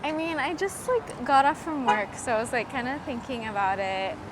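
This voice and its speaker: A woman's voice: high voice, lively intonation, moderate pace. A young American woman in casual conversation outdoors, a little hesitant, saying "like" and "kinda" often. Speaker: interviewee, criminal defense mitigation specialist. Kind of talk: casual chat. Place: outdoors on a street. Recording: noisy outdoor street with traffic.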